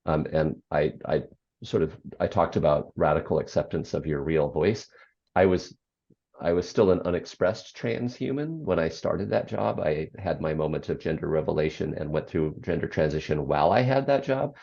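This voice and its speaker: Low bass voice, gravelly, sixties, interview guest, thoughtful with many ums, slightly muffled audio. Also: expressive, moderate pace, warm tone. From United States. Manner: reflective, frequent ums and restarts. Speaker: guest, author and former radio program director. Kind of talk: interview conversation.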